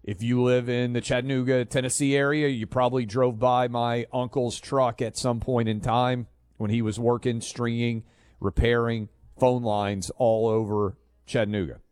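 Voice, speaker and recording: A man's voice: mid-range voice, flat delivery, normal speed, a little mellow, clear, resonant tone, no echo, studio quality. A man hosting a talk radio show, speaking in a conversational, opinionated way. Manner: conversational, opinionated, fillers and restarts. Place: radio studio. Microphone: studio mic.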